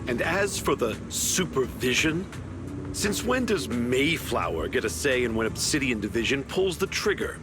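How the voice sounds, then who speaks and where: A man's voice: mid-range voice, big pitch swings, music in the background, natural voice. A man voicing a condescending, pompous major general in a video game, commanding and slyly sarcastic. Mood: sardonic, cold. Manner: pompous, deliberate, veiled sarcasm. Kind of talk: scripted video game voice acting.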